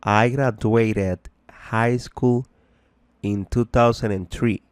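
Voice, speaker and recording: A man teaching a bilingual language lesson, deliberately explaining, translating and repeating phrases. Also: on the low side, fairly even intonation, smooth, no echo. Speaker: English teacher.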